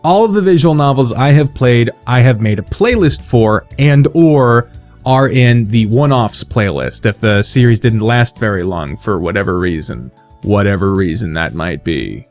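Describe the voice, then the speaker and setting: A man's voice: fairly low pitch, animated, normal speed, darkish tone, faint music behind. A man hosting a video in a casual, unscripted monologue, rambling, swearing freely and putting himself down. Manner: sweary, self-deprecating, rambling. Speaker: video host announcing fan awards.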